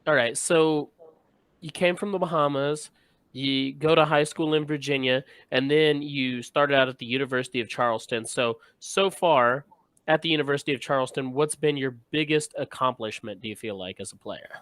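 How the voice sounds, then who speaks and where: Guy hosting a laid back sports chat, encouraging, informal, mid pitch, dry audio. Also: moderate pace, a bit bright, no echo. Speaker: host. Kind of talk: casual sports interview.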